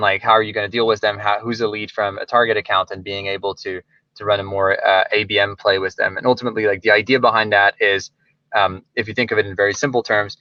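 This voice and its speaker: Male webinar presenter, informal and hesitant, crisp-edged bright tone, close dry sound on slightly lo-fi audio. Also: lowish voice, no room sound. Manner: informal, hesitant phrasing with frequent "like". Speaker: software company presenter. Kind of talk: webinar presentation, explanatory talk.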